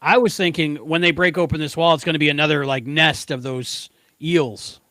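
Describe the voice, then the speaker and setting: A man with a somewhat high-pitched voice co-hosts a podcast. His delivery is casual and conversational. The sound is very dry, with no room echo. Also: fairly even intonation, normal speed, pushed and bright. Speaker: podcast co-host. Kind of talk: casual chat, episode discussion.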